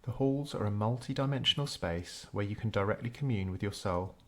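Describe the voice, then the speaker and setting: A man narrating a scripted guided meditation in a measured, unhurried storytelling way. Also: fairly low pitch.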